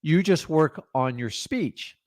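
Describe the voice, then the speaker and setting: A man's voice: fairly high pitch, expressive, slow, a little mellow, dry recording, clean studio sound. A middle-aged man coaching on real estate deals, talking in an emphatic, motivational, direct way. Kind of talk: energetic coaching talk.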